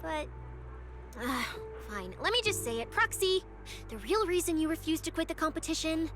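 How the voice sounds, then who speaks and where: A woman playing a playful, food-loving young police specialist in an animated game cutscene, with an expressive, mock-official manner. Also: very high-pitched, normal speed. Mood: concerned, probing. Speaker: video game voice actor. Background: background music.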